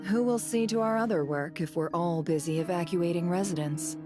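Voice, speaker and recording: A woman's voice: fairly low pitch, fairly quick, lightly acted. An actress playing a shrewd, haughty businesswoman in a video game, dry and composed in her sarcasm, with music underneath. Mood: exasperated. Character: shrewd, haughty businesswoman boss, coolly sardonic.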